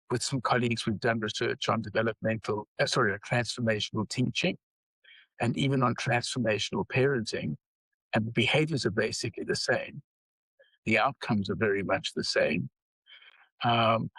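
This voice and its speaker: An older man with a South African accent, speaking as an interview guest in a measured way with frequent pauses. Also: medium pitch, vocal fry, fairly bright tone, husky, no room sound, poor audio. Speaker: guest psychology researcher. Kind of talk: interview conversation.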